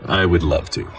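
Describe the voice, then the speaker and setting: An actor playing a dignified blind swordsman in a video game, with a courteous, dry delivery. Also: a bit bright. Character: dignified, dryly amused male blind swordsman.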